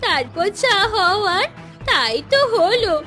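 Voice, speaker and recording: Anxious cartoon village mother voiced by a woman, very high and sharp, pleading, music underneath. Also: sing-song, slow, heavily acted. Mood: remorseful pleading. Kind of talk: Bengali animated fairy tale dubbing.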